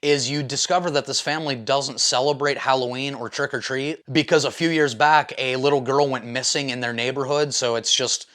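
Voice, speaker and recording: A man's voice: medium pitch, steady tone, a little fast, hardly any pauses, a bit bright. A man hosting a casual film review, talking in an informal, enthusiastic, slangy and opinionated way. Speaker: movie reviewer, video host.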